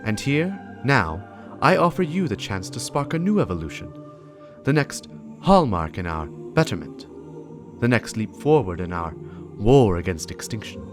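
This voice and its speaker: A grave warrior mentor acted by a man speaks in a low voice that rises and falls dramatically over music. The delivery is measured and oratorical, full of weighty pauses, like solemn video game lore. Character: solemn veteran warrior mentor passing on a cursed weapon.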